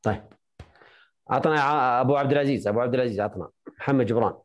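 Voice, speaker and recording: A man teaching a jurisprudence lesson online, briskly quizzing and correcting his students. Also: mid-range voice.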